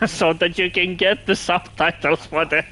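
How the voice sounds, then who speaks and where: Guy streaming a game, kinda high voice, chats w viewers, restarts a lot. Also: fairly even intonation, unhurried, sharp tone, slight background noise, poor audio. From Finland. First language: Russian. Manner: chatty, answers viewers' chat, restarts phrases. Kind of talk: casual gameplay commentary.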